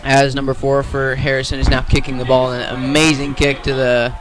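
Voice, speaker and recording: An American man giving radio play-by-play sports commentary in a measured announcing manner. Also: medium pitch, completely flat delivery, poor audio.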